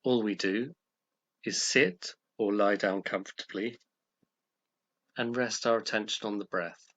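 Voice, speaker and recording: A man leading a guided meditation, speaking in a calm, gentle, measured way with pauses between phrases. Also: lowish voice, muffled recording.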